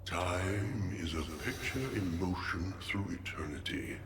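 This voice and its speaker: An actor playing a solemn old master of time in a video game, speaking in a measured, oracular way. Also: male voice, low voice, animated. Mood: calm, foreboding. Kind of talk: scripted video game dialogue. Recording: echoing voice over noisy game ambience.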